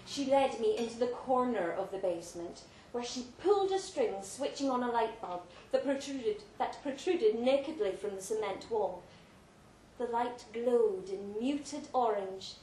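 Slow, higher-voiced woman reading in a roomy venue. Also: mellow. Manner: theatrical, deliberate, with dramatic pauses. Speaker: story reader at a live event. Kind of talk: dramatic literary story reading. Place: indoor live reading in a pub venue. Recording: roomy live venue, faint background noise.

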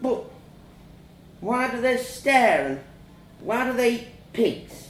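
A man's voice: very high voice, sing-song, slow pace, roomy sound, slight background noise, pitched above the performer's own voice, full character voice. Man playing a whimpering, naive little farm boy. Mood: puzzled, hesitant. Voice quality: small whimpering voice.

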